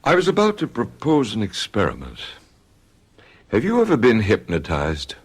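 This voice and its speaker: An actor playing a calm doctor in a radio drama, measured and questioning. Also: male voice, fairly low pitch, slightly rough, exaggerated voice. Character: calm male doctor, a psychiatrist. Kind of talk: 1970s radio drama acting.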